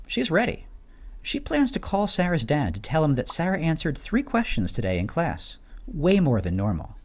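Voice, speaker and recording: Man narrating with very animated, swooping pitch. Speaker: narrator of a teacher training video. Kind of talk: scripted explainer narration with storytelling.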